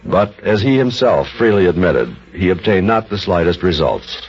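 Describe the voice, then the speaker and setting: A man's voice: sits low, big pitch swings, normal speed. A man narrating scripted material as an old-time radio storyteller. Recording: dull old radio recording.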